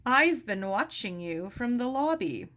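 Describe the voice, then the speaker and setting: An elderly theater doorman from a storybook, read by a woman. He is kindly and courteous but suspicious. His questions have lively lifts in pitch. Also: measured pace, heavily acted. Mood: curious, concerned. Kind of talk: children's storybook read aloud.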